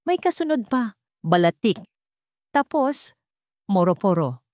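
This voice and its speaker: A woman voicing an anxious, determined young woman in an audio story, hushed and urgent in manner. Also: medium pitch, very animated, a little slow, no echo, clean studio sound, pitched above the performer's own voice. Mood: urgent, excited. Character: anxious, determined young woman, a general's daughter, piecing clues together.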